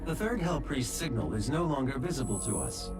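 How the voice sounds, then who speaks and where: A man voicing a formal AI guide, lively intonation. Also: mid-range voice, close to an everyday voice. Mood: composed, businesslike. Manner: measured, formal, informative. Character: calm, factual male artificial intelligence guide. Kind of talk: scripted game mission briefing. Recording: distant, under loud music.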